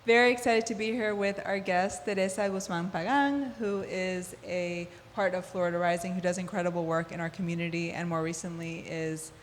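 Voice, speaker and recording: A woman hosting a community radio interview, speaking warmly and with enthusiasm, with some "um"s. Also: fairly low pitch, fairly even intonation, brisk, hardly any pauses, in a large hall, a little hiss or hum. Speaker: host.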